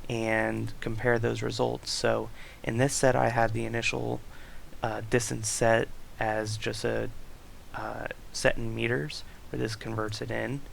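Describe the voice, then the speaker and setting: Young male student, creaky flat voice, explaining code over screen capture on a hissy desk mic. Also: mid-range voice. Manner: reads user stories aloud, explains code step by step with fillers. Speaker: student presenter. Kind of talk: screen-recorded project walkthrough.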